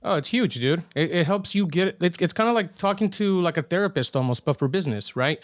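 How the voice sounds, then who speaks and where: A man's voice: fairly high pitch, lively intonation, a little fast, dry recording, studio quality. A man hosting a podcast, talking in a laid-back, casual way with lots of "like" and "right?". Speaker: podcast host.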